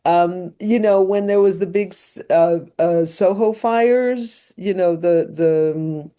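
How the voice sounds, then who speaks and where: Interview guest: French-born woman, sixties, French first language, mellow voice, halting with fillers and restarts. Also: on the low side. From France. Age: 60s. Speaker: documentary filmmaker guest. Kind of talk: casual interview conversation.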